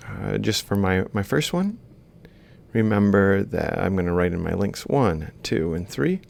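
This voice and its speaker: A man's voice: low-pitched, expressive, a little slow, vocal fry, a little mellow. A man lecturing informally, thinking aloud as he explains step by step while drawing. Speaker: robotics lecturer.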